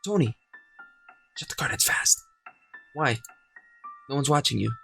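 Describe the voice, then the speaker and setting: A man's voice: mid-range voice, expressive, a little slow, gravelly, a bit bright, breathy edge, soft background music, full character voice. A man voicing a scared little girl who pleads in fearful whispers.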